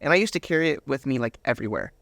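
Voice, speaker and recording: A man's voice: medium pitch, animated, racing, vocal fry, a bit bright, dry recording. A man giving a personal testimony as an interview guest, retelling moments with quoted dialogue acted out.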